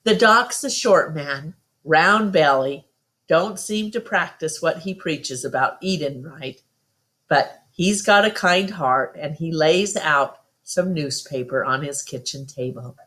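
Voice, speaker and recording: A woman's voice: sits low, takes it slowly, fairly bright tone. A woman storyteller reading a first-person children's novel aloud as narration.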